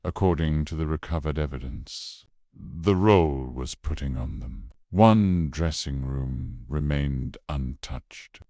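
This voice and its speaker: Man, extremely low pitch, slow pace, solemn scripted horror narration, measured dramatic pauses. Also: dark tone, dry recording, clean studio sound.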